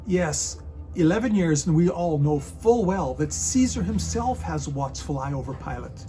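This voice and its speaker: A stern Roman soldier played by a man in a passion play, with a fairly high voice and a declamatory, scolding manner. Loyal and impatient, he speaks over clear background music. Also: expressive, normal speed, warm tone, close to an everyday voice. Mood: grave, scornful. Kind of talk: dramatic reading of a church passion play.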